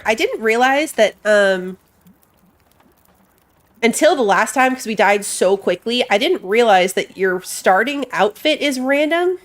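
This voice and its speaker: A woman giving casual live commentary as she plays a game, chatting and thinking aloud. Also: fairly high pitch. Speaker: game streamer.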